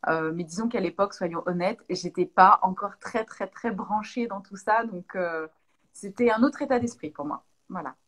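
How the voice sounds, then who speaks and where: A woman's voice: medium pitch, moderate pace, fairly bright tone. Hesitant, thoughtful French woman. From Lyon, France. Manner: thoughtful, many hesitations and restarts. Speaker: naturopath. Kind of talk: casual interview answers.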